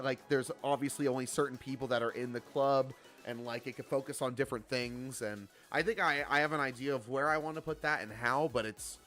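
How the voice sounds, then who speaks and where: A man's voice: mid-range voice, a bit bright. A man of twenty-seven chatting casually on a game livestream, bantering playfully and swearing freely. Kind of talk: casual livestream chat while gaming.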